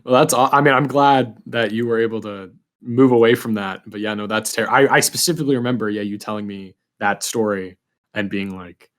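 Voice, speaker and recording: A man hosting a casual interview podcast, speaking informally and haltingly, often repeating his words. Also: lowish voice, fairly even intonation. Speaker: podcast host.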